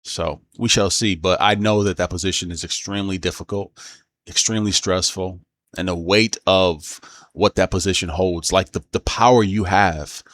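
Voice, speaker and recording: Man, low-pitched voice, casual news commentary, hesitant, halting, self-correcting delivery. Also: fairly even intonation, fairly bright tone.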